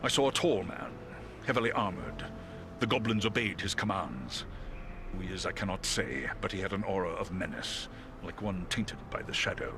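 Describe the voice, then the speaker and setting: Big noble eagle, very deep and formal, lively swings in tone, noisy game ambience. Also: male voice, vocal fry, exaggerated voice. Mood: grave, resolute. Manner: formal, stately, measured. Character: great noble talking eagle, grave and courteous. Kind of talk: video game cutscene acting.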